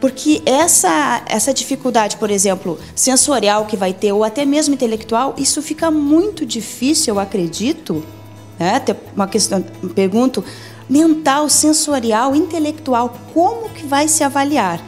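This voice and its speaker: A woman's voice: high-pitched, expressive, normal speed, a little mellow, faint music behind. Brazilian woman lawyer explaining rules. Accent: Brazilian Portuguese. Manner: methodical, explains legal rules step by step. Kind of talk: explanatory interview answers. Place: office meeting room. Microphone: handheld mic.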